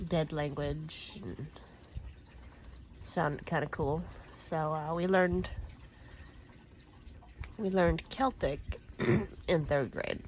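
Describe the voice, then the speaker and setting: A Swedish-born woman in her thirties talks slowly in a somewhat low voice, rambling through her thoughts with fillers and the odd cleared throat. Her casual crafting chat is recorded at home with a faint hum of room noise behind it. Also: low-quality recording. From Sweden. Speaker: crafting vlogger.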